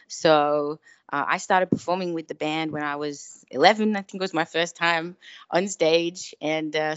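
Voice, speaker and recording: A woman with an Australian accent and a low-pitched voice talks as a musician guest in a casual interview. She speaks in an effusive, thankful way. The sound is dry and a little muffled. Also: fairly bright tone, clear, resonant tone, no room sound. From Melbourne, Australia. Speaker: guest singer-songwriter.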